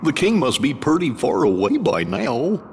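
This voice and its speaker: Bumbling, folksy cartoon knight captain, goofy drawl, swooping pitch, reverberant hall. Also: male voice, sing-song, moderate pace, noisy background. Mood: curious. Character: goofy, good-natured male cartoon animal, knight captain. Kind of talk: animated game cutscene acting.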